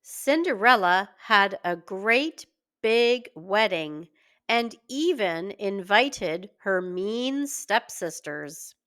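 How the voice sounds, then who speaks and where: A woman reading a scripted story aloud for young children in a deliberate, expressive way. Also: medium pitch. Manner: slow, deliberate, expressive delivery. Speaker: children's story reader and teacher.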